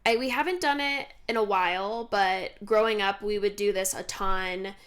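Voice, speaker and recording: A young woman co-hosting a podcast, telling family holiday stories in a relaxed, chatty way with plenty of fillers. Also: medium pitch, moderate pace. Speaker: podcast co-host. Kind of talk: casual chat.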